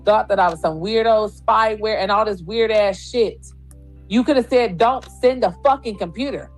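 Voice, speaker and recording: A woman's voice: mid-range voice, normal speed, soft background music. A woman ranting on a livestream, defensive and profane as she justifies herself. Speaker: streamer in a played clip. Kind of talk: heated live-stream rant.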